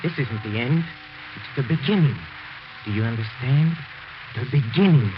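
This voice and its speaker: An actor playing an obsessed, grieving husband, intense and theatrical, in 1940s radio melodrama. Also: male voice, mid-range voice, expressive, normal speed, close to an everyday voice. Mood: frantic, obsessed. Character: obsessed grieving husband, desperate, morbid, unhinged. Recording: very noisy, muffled 1940s radio recording.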